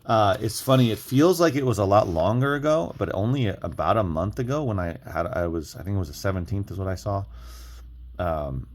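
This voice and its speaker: A man's voice: low-pitched, darkish tone. A man talking casually as an online reseller sharing tips, rambling a little and often rephrasing himself. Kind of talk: casual reselling vlog talk. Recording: clearly audible background noise.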